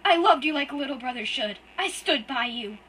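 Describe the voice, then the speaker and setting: Sobbing, high-pitched furry boy read by a woman, loud and shrill, raw betrayal. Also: expressive, fairly quick, recorded from a distance, a little hiss or hum. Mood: sobbing anguish. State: crying.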